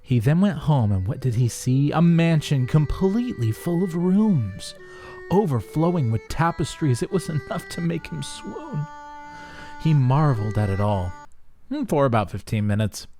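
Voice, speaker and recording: A man narrating a rhyming folk tale as a storyteller, theatrical and expressive. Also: a little high, normal speed, soft background music.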